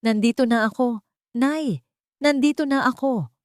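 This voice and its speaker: A woman's voice: on the high side, a little slow, no echo, voice pushed up, natural voice. Audio drama role: wronged billionaire son played by a woman, firm, angry, protective, emphatic delivery, dry recording. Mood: anguished, protective. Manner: emphatic, commanding. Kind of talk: dramatic audio story dialogue.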